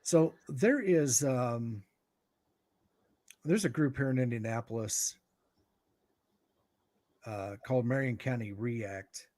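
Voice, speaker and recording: Middle aged american guy, normal pitch, explains stuff casually, kinda halting and thinking out loud. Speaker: guest radio expert. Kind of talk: informal explanatory talk.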